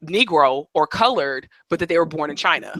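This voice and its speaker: A man's voice: on the high side, expressive, fairly quick, fairly bright tone, no echo. A man hosting a live panel discussion, talking conversationally with frequent restarts and fillers. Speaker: host.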